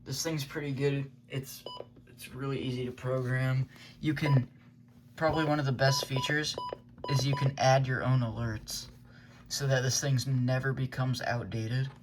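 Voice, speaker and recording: A man's voice: mid-range voice, level tone, a little slow, poor audio. A man giving a casual, unscripted product review as a hobbyist, thinking aloud in a loose, hesitant way. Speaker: weather radio hobbyist reviewing gear.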